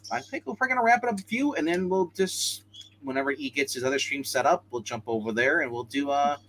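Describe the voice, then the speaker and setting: A man's voice: on the high side, fairly quick, a bit bright, low-quality recording. A man co-hosting a casual livestream, talking about pop culture in a rambling, informal way. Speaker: livestream co-host.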